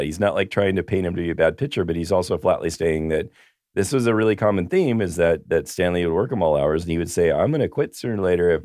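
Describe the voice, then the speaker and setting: A man co-hosting a casual film podcast, rambling through a story with many restarts, his voice going hoarse. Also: low-pitched, darkish tone, no echo, clean studio sound. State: losing his voice. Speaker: podcast co-host.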